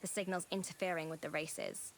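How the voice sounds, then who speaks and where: A woman's voice: deep voice, fairly even intonation, brisk, creaky, slight background noise. An actress playing a rebellious young woman, a brash, defiant drone racer in a video game. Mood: confident, businesslike. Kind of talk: video game cutscene acting.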